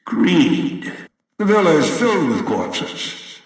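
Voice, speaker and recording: An actor playing a cold, obsessive old male villain in a video game, giving orders in a deliberate, menacing, condescending way. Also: a little high, very animated, heavily acted. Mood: grim, contemptuous. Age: older. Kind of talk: video game voice acting, monologue. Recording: very echoey, long reverb, distant.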